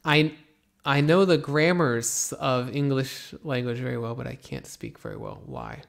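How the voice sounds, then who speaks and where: Young American teacher from Florida, laid-back Q&A delivery, a little fry in the voice. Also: male voice, medium pitch, a little slow. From Florida, USA. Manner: relaxed, rambling, many ums and restarts. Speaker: English teacher answering viewer questions. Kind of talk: casual Q&A, reading comments aloud.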